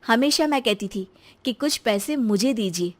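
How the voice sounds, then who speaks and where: A thrifty young wife in a cartoon story complains, insistent and fed up with her husband's spending, as a woman voices her. Her voice is fairly high and pleading. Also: lively intonation, normal speed.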